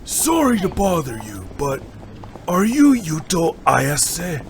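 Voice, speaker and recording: A man acting as an excitable young dojo student, rather high in pitch and very lively in intonation. He is keen and respectful, in the manner of amateur audio drama. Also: slow pace, background noise, full character voice. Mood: eager.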